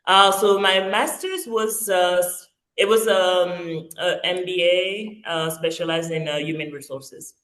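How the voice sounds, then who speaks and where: A woman in her forties, originally from Congo by way of France, talks through her story as a guest. She pauses and restarts a lot, filling gaps with soft ums. Her voice carries a roomy echo. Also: on the low side, steady tone. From Congo-Kinshasa, raised in France. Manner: hesitant, many fillers and restarts. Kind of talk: casual interview storytelling. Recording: echoey roomy sound.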